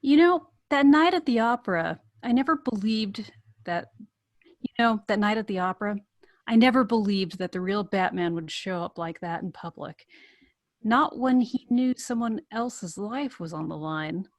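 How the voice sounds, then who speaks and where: A woman's voice: mid-range voice, expressive, moderate pace, close to an everyday voice. A woman reading the part of a bold, determined, flirtatious woman in love at a script table read. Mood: smitten. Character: bold, determined woman romancing a wealthy bachelor.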